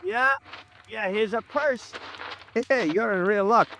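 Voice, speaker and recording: A man's voice: high voice, slow, pushed and bright, full character voice. An actor playing a casual, helpful male parking lot attendant in a 1950s radio drama, speaking in a folksy, offhand way. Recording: faintly noisy old radio recording.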